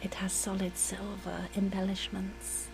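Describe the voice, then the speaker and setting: A woman doing an ASMR roleplay as a reassuring, attentive air hostess, speaking softly and soothingly, sometimes in a whisper. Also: medium pitch, monotone, unhurried, creaky, background noise. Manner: soft-spoken, soothing, partly whispered.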